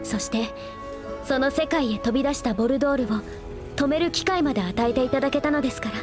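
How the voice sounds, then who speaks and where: An actress playing an earnest young princess in a game, speaking in formal, polite speech. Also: female voice, high voice, fast, close to an everyday voice. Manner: formal polite speech, flustered when teased. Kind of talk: Japanese game dub acting. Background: game music.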